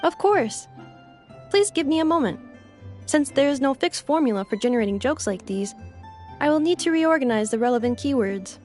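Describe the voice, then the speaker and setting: A woman's voice: high-pitched, expressive, moderate pace, a little mellow, faint music behind. A woman voicing a polite robot maid in a video game, formally and literally explaining things in an earnest way. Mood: obliging.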